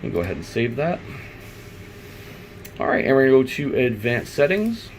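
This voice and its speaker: A man's voice: mid-range voice, very animated, normal speed, slight background noise. A man giving an informal, unscripted step-by-step tutorial, thinking aloud as he works. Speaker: IT installer giving a software tutorial. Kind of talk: unscripted step-by-step screen walkthrough.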